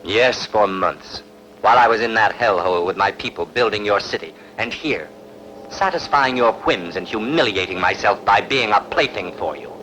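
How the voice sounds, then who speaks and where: Man acting a proud ruthless warlord, lively low delivery. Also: moderate pace, slight background noise, poor audio. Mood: bitter. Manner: grand, declamatory, dramatic. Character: ambitious, ruthless, proud conquered warlord plotting to seize a throne. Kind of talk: dubbed historical epic film acting.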